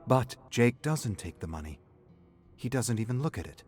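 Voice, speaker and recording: A man reading a dramatic scripted story as narrator, in a deliberate, emotive way. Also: medium pitch, very animated, a little mellow, soft background music. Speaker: narrator of game lore.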